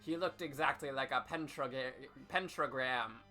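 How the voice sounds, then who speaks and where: A man's voice: mid-range voice, moderate pace, faint music behind. A man hosting an over-dramatic comedic reading of fan fiction, theatrical and emphatic. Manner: theatrical, emphatic, with sudden shouts.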